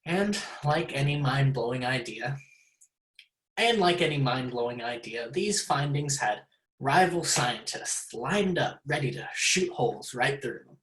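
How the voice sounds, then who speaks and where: Slow, halting, rambling lecturer in an echoey room, acted out. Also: mid-range voice, animated, fairly bright tone. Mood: earnest. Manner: halting, stammering, pausing, self-interrupting. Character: rambling, awkward science lecturer with big ideas. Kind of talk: theatrical monologue addressed to an audience. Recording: roomy, echoing sound.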